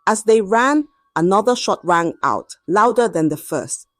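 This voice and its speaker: A woman telling a dramatic scripted story in an expressive, measured and suspenseful way. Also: medium pitch, no room sound, clean studio sound.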